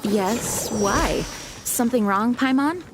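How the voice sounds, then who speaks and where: Composed, higher-voiced young knight woman. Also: expressive, slow pace, heavy background noise. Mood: curious. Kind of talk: anime game dub.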